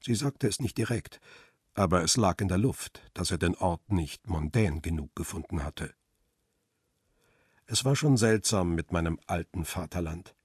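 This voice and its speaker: An actor playing a middle-aged, world-weary male photographer who narrates a radio crime drama in a measured, reflective way. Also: deep voice, expressive, breathy edge, no room sound, studio quality, full character voice. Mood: reflective, tender. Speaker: first-person narrator and lead character.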